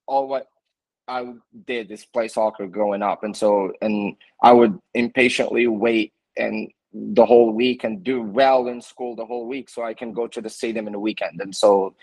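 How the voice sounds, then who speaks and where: A man's voice: medium pitch, a little mellow, dry recording, low-quality recording. A man from Morocco, a soccer coach, answering interview questions casually and linking his thoughts with "and so". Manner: strings thoughts together with "and so".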